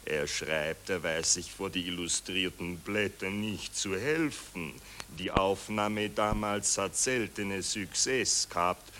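An actor playing a vain, dithering Austrian general in a satirical radio play, with a hemming, stammering, pompous delivery. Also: male voice, deep voice, slow pace, hardly any pauses, pushed and bright, slight background noise, full character voice. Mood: self-satisfied musing. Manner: pompous, drawling, falsely modest. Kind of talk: satirical radio drama acting.

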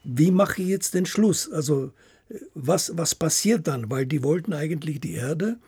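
Guy being interviewed about his writing, really husky voice, dry close sound, stops and starts. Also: on the high side, lively intonation, a little mellow, no echo. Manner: halting, often ends phrases with tag questions. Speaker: author of radio plays, guest. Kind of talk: interview recollection.

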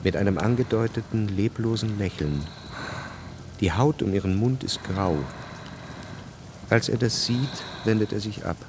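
A man giving sober, measured scripted narration for a radio drama. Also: fairly low pitch, noisy background.

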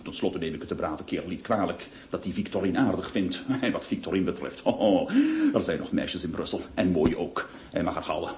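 An actor playing a panicky, flustered gentleman who stammers and exclaims in a Dutch-language radio play. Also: sits low, fairly quick, a little hiss or hum. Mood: rueful, flustered. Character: panicky near-sighted gentleman in trouble over a duel. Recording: dull lo-fi radio recording.

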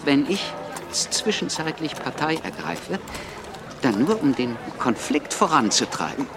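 An actor playing a sardonic, radical young lawyer who speaks with a slight halting stammer in a radio drama. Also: male voice, fairly high pitch, animated, gravelly, noisy background. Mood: sardonic, provocative.

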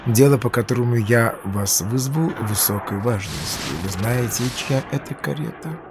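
An actor playing a stern, commanding French general in an audio drama, speaking in a curt, deliberate, authoritative manner. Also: male voice, medium pitch, animated, noisy background, natural voice. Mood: curt, grave. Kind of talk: scripted audio drama acting.

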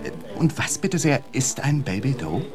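Man doing a suave witty poet, flowery and dry, lively mid-pitched voice, bit of music behind. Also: moderate pace, close to an everyday voice. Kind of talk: radio play acting.

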